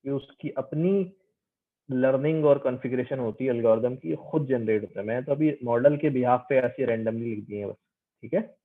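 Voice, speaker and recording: A man's voice: mid-range voice, narrow, tinny audio. A man giving an explanatory lecture as a teacher, conversational, mixing Hindi-Urdu with English and checking understanding with questions.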